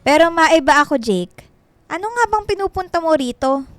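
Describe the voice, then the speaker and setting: A woman's voice: high voice, animated, moderate pace, faint music behind. A woman playing a blunt, nosy middle-aged mother in a radio drama, teasing and probing emphatically. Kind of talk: Filipino radio drama acting.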